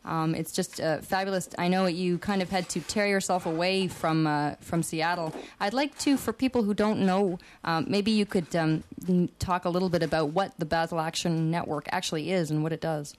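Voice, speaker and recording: A woman hosts a radio show in a somewhat low voice. She talks in a hesitant way, full of ums and restarts, and faint background noise runs under her. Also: moderate pace, hardly any pauses. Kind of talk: radio interview.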